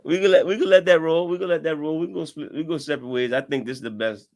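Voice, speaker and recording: A man co-hosting a casual sports podcast, relaxed and steering the topics, often repeating his words. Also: a little high, quick pace, creaky. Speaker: podcast co-host. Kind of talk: casual sports debate chat.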